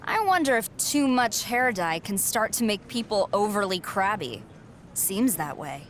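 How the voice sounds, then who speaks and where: A woman's voice: medium pitch, lively intonation, unhurried, slight background noise, close to an everyday voice. Woman voicing a sarcastic rising wrestler. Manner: sarcastic, cool, self-assured. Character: confident young female wrestler rising star. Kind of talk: video game cutscene dialogue.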